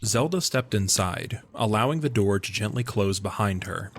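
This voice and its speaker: A man's voice: on the low side, fairly bright tone, no echo. A man reading scripted story narration for an audio drama, in the manner of an audiobook. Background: background music.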